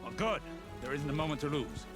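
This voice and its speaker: Impatient older warrior-scholar, video game, male actor. Formal, urgent, a little high, loud score behind. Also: expressive, fairly quick, loud music behind the voice, lightly acted.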